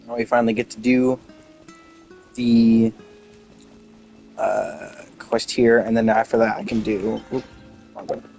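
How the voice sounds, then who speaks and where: A man's voice: medium pitch, flat delivery, measured pace, gravelly, long pauses, a little mellow. Offhand male game streamer, music behind. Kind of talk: casual playthrough commentary.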